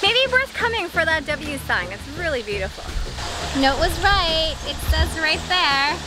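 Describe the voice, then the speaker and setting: A young woman from Canada talks brightly and a little high, chatty and quick to explain as she vlogs. She is recorded outdoors beside a resort pool on a nearby handheld camera. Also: lively intonation, music in the background, low-quality recording. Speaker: travel vlogger. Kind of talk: casual chat. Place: outdoor resort pool, then indoor spaces.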